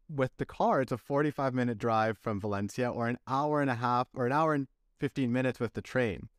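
A man's voice: mid-range voice, dry recording, clean studio sound. A man who grew up in Canada hosting a podcast, talking in an easygoing, conversational way. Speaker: podcast host.

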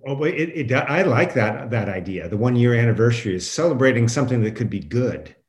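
A man talks as a science expert in an interview. His voice sits at an average pitch, and he explains with emphasis in unscripted speech. The recording is roomy and echoing. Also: lively intonation, brisk. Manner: explanatory, emphatic, quotes imagined objectors. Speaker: guest professor and climate scientist.

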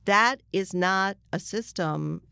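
A woman's voice: medium pitch, slow, vocal fry, bright, forceful tone, smooth, no echo. A woman speaking as a journalist guest in an interview, making her case emphatically and breaking off to rephrase. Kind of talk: argumentative interview discussion.

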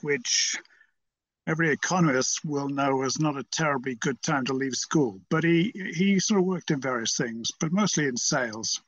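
A man's voice: mid-range voice, fairly bright tone, no echo, lo-fi sound. A British man in his seventies from Merseyside, talking reflectively as an interview guest in a hesitant, rambling, understated way. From Merseyside, England. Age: 70s.

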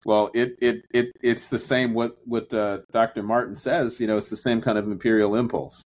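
A man's voice: medium pitch, little pitch movement, a little mellow, a little hiss or hum. A man co-hosting a podcast, talking through ideas in a casual, reflective way with frequent restarts. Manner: thoughtful, restarts often, drawn-out phrases. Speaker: podcast co-host. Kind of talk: casual discussion.

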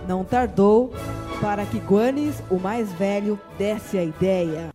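A narrator reading a radio drama in a measured storytelling manner. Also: mid-range voice, music in the background.

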